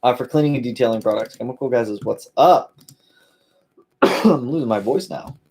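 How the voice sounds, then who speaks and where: A man's voice: mid-range voice, normal speed, a little mellow. Laid-back man hosting a car Q&A. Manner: laid-back, many fillers, calls viewers "my man". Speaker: host of a car channel. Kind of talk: casual live-stream Q&A.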